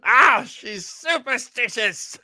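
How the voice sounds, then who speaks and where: A man's voice: extremely high pitch, lively intonation, slow, bright, forceful tone. A man giving wry, mocking, casual commentary as a listening party co-host. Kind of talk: casual joking live commentary.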